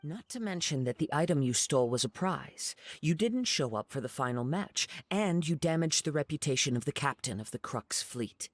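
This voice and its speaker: A man playing a calm, poetic young wandering swordsman in an anime game, speaking in measured, eloquent phrases. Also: on the high side, no room sound. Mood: coolly menacing. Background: background music.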